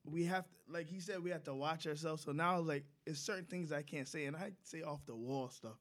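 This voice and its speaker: A man's voice: a little high, brisk, a bit bright. A man co-hosting a casual chat, playful and stammering, often starting over mid-sentence. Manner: stammering, playful, restarts a lot.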